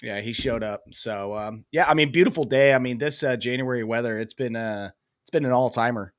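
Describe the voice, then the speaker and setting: A man's voice: medium pitch, fairly quick, dry recording, studio quality. A young man with a head cold, rambling casually as co-host of a sports podcast, full of fillers and self-deprecating asides.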